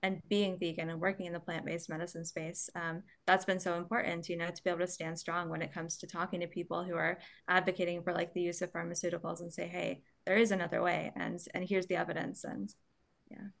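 A young American woman with a fairly low voice speaks as a podcast guest. She is reflective and articulate, dropping in casual filler phrases as she goes. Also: flat delivery, quick pace, gravelly. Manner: articulate, with frequent "like" and "you know". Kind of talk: reflective conversational talk.

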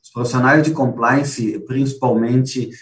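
A man from Brazil, moderating a webinar on a video call, speaking slowly and hesitantly. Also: medium pitch, steady tone. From southern Brazil. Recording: distant, roomy mic sound.

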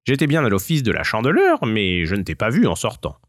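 A man's voice: lowish voice, wildly swooping pitch, brisk, no echo, studio quality, full character voice. A man voicing a plain-spoken, apologetic village baker in a children's tale. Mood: defensive. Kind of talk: children's storybook dialogue.

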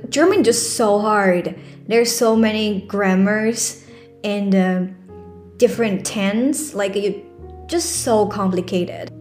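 Young woman, Chinese accent, podcast host, earnest casual chat, many fillers, soft guitar music behind. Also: mid-range voice. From China. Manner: chatty, earnest, with frequent fillers and restarts. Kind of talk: casual solo podcast talk.

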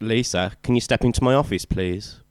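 A man's voice: on the low side, brisk, a little hiss or hum, close to an everyday voice. A man with a British accent playing a stern, reproachful shop manager in an audio drama, firm and lecturing. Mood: serious.

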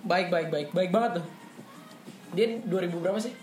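A young man from Papua with a Jakarta accent, chatting as a podcast guest in a relaxed, slangy, storytelling way. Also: sits high, moderate pace. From Papua, Indonesia. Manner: relaxed, slangy, animated retelling. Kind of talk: casual storytelling chat. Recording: echoey room, faint music.

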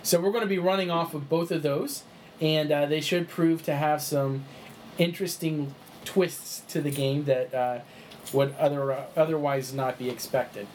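A man's voice: fairly high pitch, fairly even intonation, normal speed, darkish tone, a little hiss or hum. A man running a tabletop game session, explaining the rules in a chatty way with lots of fillers and restarts. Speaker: game master.

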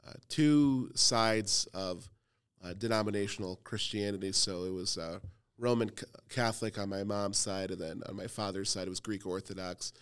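A low-voiced man in his thirties, smooth and clear, chatting easily as a youth pastor on a church podcast. He talks loosely and warmly about himself, full of little fillers and wry self-deprecating asides. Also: normal speed. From Cleveland, Ohio. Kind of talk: casual podcast conversation.

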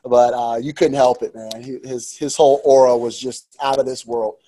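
Mellow-voiced man in his forties, emphatic. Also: mid-range voice, steady tone, moderate pace. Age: 40s. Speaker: youth sports coach, interview guest. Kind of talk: casual conversational interview.

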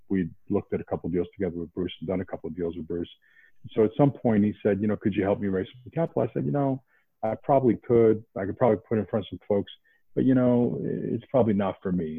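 A man from around Boston, a podcast guest, talking in a casual animated way with many restarts. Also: on the low side, quick pace, husky, dry recording. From New England, outside Boston. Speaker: podcast guest, investment banker. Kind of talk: casual interview talk. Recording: tinny phone-like line.

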